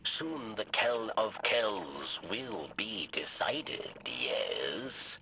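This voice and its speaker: An actor playing a grave, world-weary alien warrior, narrating solemnly and haltingly in a video game with a gravelly voice. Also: male voice, on the low side, sing-song, bright, forceful tone, a little hiss or hum, heavily acted. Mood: solemn, mournful.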